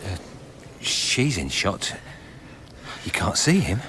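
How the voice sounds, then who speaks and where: Edgy crewman, very animated. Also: low-pitched, slow, slight background noise, exaggerated voice. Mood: uneasy, sceptical. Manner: edgy, colloquial. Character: nervous, sceptical male film crew member. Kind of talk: naturalistic audio drama acting.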